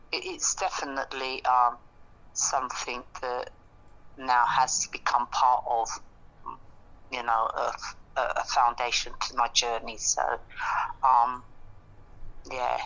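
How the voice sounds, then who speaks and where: A woman in casual, reflective conversation, speaking earnestly and haltingly as she works out her thoughts. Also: very deep voice, creaky, a bit bright, rough recording.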